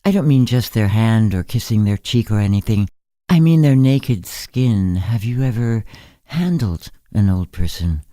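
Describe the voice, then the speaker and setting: A man's voice: on the low side, expressive, measured pace, mellow, no echo, clean studio sound. A man voicing an observant, wry young woman care worker in a story, matter-of-fact and thoughtful. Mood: earnest questioning. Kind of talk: storybook character reading.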